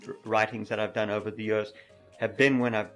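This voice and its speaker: A middle-aged man with a stutter who repeats words, talking softly and openly as a podcast guest. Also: on the low side, little pitch movement, brisk, faint music behind. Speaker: podcast guest, mountain biker. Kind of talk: casual interview chat.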